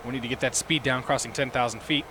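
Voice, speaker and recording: A hoarse man hosting a livestream, chatting casually and talking himself through each cockpit step as he flies a simulator. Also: mid-range voice, fairly even intonation, fast, noisy background. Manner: talks himself through cockpit steps, chats with viewers. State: losing his voice, hoarse. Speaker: livestream host. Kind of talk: casual commentary while flying a simulator.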